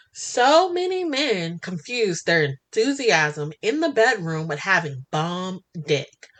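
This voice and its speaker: A woman narrating a first-person romance story in an expressive, flirty and sassy way. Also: mid-range voice. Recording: music bed under the voice. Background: music.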